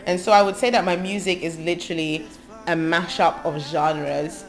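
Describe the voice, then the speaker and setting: Low-voiced woman over music. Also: steady tone, normal speed, rough recording. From England. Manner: thoughtful, long lists with frequent ums. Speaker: gospel singer. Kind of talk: interview monologue about her life. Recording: music playing under her voice. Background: background music.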